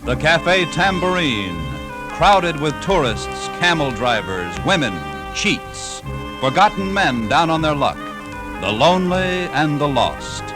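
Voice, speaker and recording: An actor as a dramatic announcer of a 1950s radio adventure show, delivering scripted lines in a declamatory way. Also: male voice, on the high side, very animated, takes it slowly, poor audio. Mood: grand, dramatic. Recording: old radio broadcast, loud music behind. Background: music.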